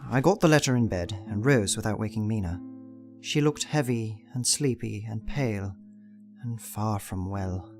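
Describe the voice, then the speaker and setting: Guy doing earnest young victorian gent telling his journal, lowish voice, formal, audio drama w faint guitar music. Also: normal speed, lightly acted. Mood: earnest, determined.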